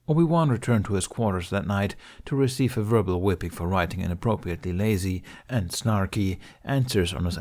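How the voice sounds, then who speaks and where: Low-voiced man narrating a story. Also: darkish tone. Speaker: audiobook narrator of fan fiction.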